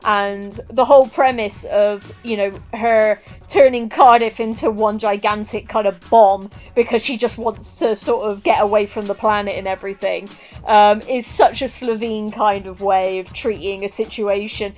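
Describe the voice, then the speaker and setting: A woman's voice: mid-range voice, steady tone, moderate pace, soft background music. A woman co-hosting a podcast in casual chat, enthusiastic and rambling, with plenty of fillers. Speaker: podcast co-host.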